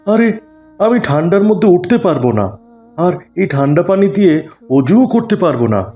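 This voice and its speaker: A man's voice: high voice, very animated, moderate pace, dark tone. A man playing a wayward young husband and son in a cartoon, plaintive and earnest. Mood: sleepy, grumbling. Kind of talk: cartoon dialogue.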